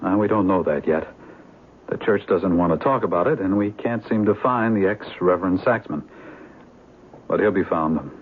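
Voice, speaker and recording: A man acting a deep-voiced, shrewd police detective. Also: moderate pace, natural voice. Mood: matter-of-fact. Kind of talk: 1970s radio drama acting. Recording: muffled, hissy 1970s radio broadcast.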